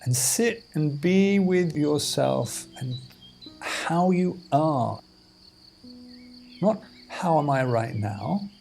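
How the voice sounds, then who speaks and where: A man leading a guided meditation as a teacher, in measured, deliberate phrases with pauses between them. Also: fairly high pitch, lively intonation, creaky, a little mellow. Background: music and outdoor insect sounds.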